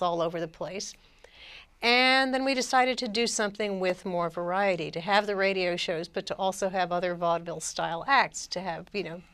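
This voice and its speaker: Clear-voiced woman explaining casually. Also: fairly low pitch, fairly bright tone. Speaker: theatre company member, interviewee.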